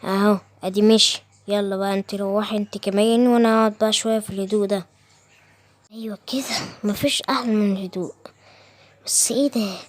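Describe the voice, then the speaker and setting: A woman's voice: medium pitch, steady tone, fast, dry recording, close to an everyday voice. A woman with an Egyptian accent voicing a lazy, bossy male lion king who grumbles and gives orders. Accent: Egyptian Arabic.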